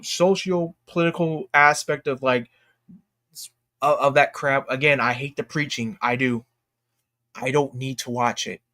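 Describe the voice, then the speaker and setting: A man giving casual, opinionated livestream commentary in a blunt, emphatic way, haltingly repeating his phrases for emphasis. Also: medium pitch, a bit bright, no room sound, clean studio sound. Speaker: streamer and commentator.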